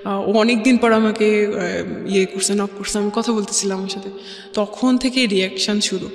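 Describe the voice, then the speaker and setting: A woman with a Bangladeshi accent tells her own story as an interview guest, haltingly, repeating phrases and mixing in English words. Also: mid-range voice, fairly even intonation. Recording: echoey reverb, faint music bed. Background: faint music.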